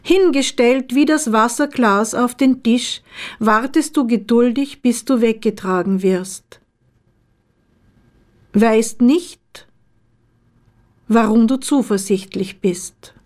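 Woman poet reading her poems, really slow and deliberate, voice a bit high. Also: darkish tone. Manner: measured, deliberate delivery with pauses between short phrases. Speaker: poet, author reading own work. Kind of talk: literary poetry reading.

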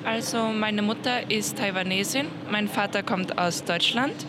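A woman passerby calmly lists her languages for a street interview. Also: mid-range voice, moderate pace. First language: German. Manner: calm, listing her languages. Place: outdoors on a town square. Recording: street traffic noise.

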